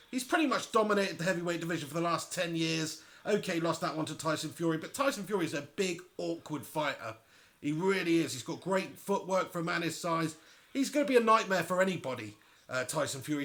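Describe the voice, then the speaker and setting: Excitable man commentating a fight. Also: high-pitched. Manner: chatty and excitable, talks straight to viewers. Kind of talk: live reaction commentary to a boxing match.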